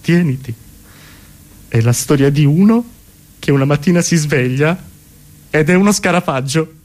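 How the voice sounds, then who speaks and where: A man voicing an excitable artist who urgently pitches his absurd new idea in halting, conspiratorial bursts, in a comedy monologue. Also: lightly acted. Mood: excited, self-satisfied.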